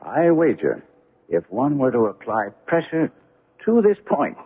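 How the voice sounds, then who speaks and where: An actor playing a keen, commanding detective in a deliberate, probing, theatrical old-time radio drama style. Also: male voice, medium pitch, wildly swooping pitch, slightly rough, heavily acted. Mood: intent, musing. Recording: muffled narrow-band old radio recording.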